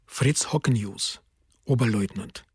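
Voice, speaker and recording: Very husky male announcer. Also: mid-range voice, measured pace, no echo, studio quality. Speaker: announcer of sources. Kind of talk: terse scripted announcements in radio documentary.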